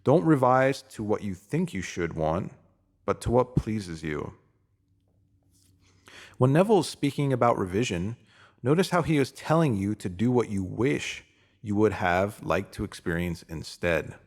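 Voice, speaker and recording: A man's voice: lowish voice, lively intonation, a little slow. Man earnestly reading a motivational spiritual essay. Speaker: narrator.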